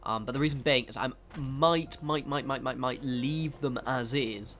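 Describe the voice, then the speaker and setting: A man's voice: mid-range voice, moderate pace, fairly bright tone, a little hiss or hum. A man, nasal and stuffed up from a cold, talking through a game building tutorial in a chatty, rambling way that keeps correcting itself. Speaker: game video creator.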